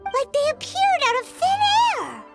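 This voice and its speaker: A woman voicing a tiny, excitable, childlike fairy sidekick in an anime-style video game, with a squeaky voice. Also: unhurried, vocal fry, heavily acted. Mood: explaining. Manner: speaks of herself in third person. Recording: loud playful music behind.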